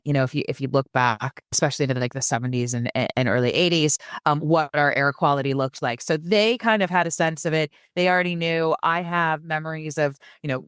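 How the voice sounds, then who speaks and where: Enthusiastic woman, smooth dry voice. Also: low voice, dry recording. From San Francisco and Germany. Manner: enthusiastic, often repeats words as she starts a phrase. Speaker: podcast guest. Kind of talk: casual interview talk.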